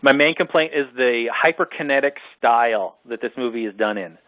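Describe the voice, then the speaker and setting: A man's voice: mid-range voice, a little fast, no echo, lo-fi sound. A man co-hosting a casual film discussion, ranting in an exasperated, emphatic way and repeating himself for emphasis. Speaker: podcast co-host reviewing films.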